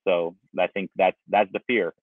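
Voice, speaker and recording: A man's voice: sits low, steady tone, normal speed, no room sound. A man in his forties, born in Russia, answering interview questions in a rambling way full of restarts, speaking over a video call from an outdoor patio. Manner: rambling, with many restarts and fillers. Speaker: company founder, guest. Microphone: video call, wireless earbuds. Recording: dry, thin phone-like video call audio.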